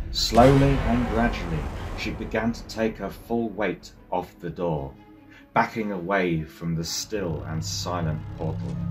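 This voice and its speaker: A man's voice: low voice, low-quality recording. A man narrating a dramatic scripted horror story in a theatrical, suspenseful manner. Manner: theatrical, suspenseful delivery with swelling intensity. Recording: music behind the voice. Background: music.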